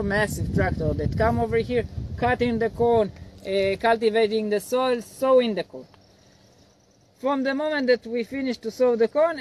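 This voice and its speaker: A man's voice: sits high, a little hiss or hum, rough recording. Man explaining crops outdoors. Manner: explains patiently in non-native English. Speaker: agronomist guiding a farm tour. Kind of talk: outdoor field lecture. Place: outdoors by a cornfield.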